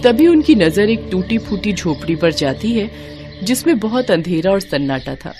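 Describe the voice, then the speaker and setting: A woman narrating a devotional children's story in a slow, even storytelling manner. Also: medium pitch. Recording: music playing behind.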